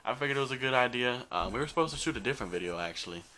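A man's voice: mid-range voice, expressive, very fast, pushed and bright. A man, chatting casually to camera as a vlog host in a bedroom. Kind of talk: casual chat to camera introducing a video. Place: small bedroom, indoors.

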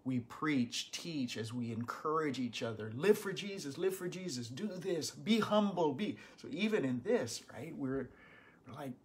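A man, a pastor teaching a Bible study from home, earnest and animated, with bursts of emphasis. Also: a little high, unhurried, slight background noise. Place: small living room at home.

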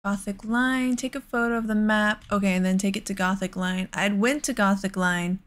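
A woman's voice: mid-range voice, steady tone, normal speed, fairly bright tone. A woman giving casual let's play commentary on a video game, rambling and thinking aloud as she goes.

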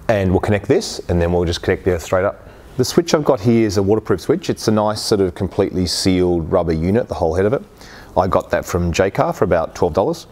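Male how-to host, 40s, fairly low pitch, practical and plain-spoken, talking through each step. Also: darkish tone. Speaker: host of boat repair channel. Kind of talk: how-to demonstration, explaining steps. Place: workshop garage, indoors.

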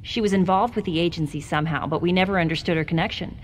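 Woman acting as self-assured young female agent, game cutscene testimony, lowish voice, long reverb, faint noise. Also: fast talker, natural voice. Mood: measured, wry. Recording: noisy, reverberant hall, game ambience.

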